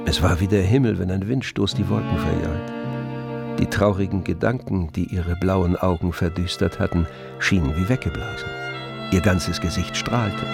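Low-voiced, wry literary narrator. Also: male voice, moderate pace, gravelly, loud music behind the voice. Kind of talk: scripted radio drama narration.

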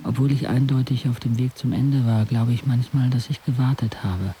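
A man's voice: mid-range voice, fairly even intonation, normal speed, noisy background, natural voice. An actor playing a brooding, disillusioned young man who narrates his life in an introspective, sardonic way for a radio drama. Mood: wry, detached. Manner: introspective, sardonic, literary phrasing.

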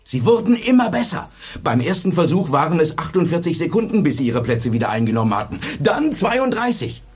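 Teacher telling his story, raspy high voice, swings up and down. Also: big pitch swings, brisk, a little mellow. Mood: animated, proud. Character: male teacher recounting his classroom experiment with pride. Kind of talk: German radio play acting.

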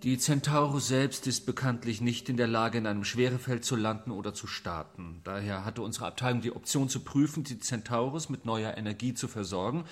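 An actor playing a matter-of-fact scientist delivering a formal, businesslike report in a German radio drama, with a husky voice. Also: male voice, medium pitch, fairly quick, few pauses, natural voice. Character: matter-of-fact male scientist heading a department.

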